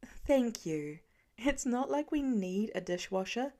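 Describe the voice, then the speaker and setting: A woman voicing a warm, teasing mother of young children in a story reading. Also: fairly high pitch, lively intonation, slow. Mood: wry, grateful.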